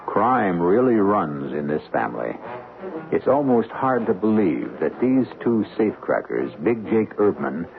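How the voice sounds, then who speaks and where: An actor playing the wry narrator of an old-time radio crime drama. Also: male voice, on the low side, sing-song, unhurried. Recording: thin narrow-band radio broadcast with music.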